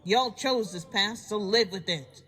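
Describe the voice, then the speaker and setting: A woman's voice: mid-range voice, measured pace, a bit bright, lightly acted. A woman voicing a sadistic farm pony villain in a fan audio drama, taunting with folksy mock politeness. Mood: cruel, contemptuous.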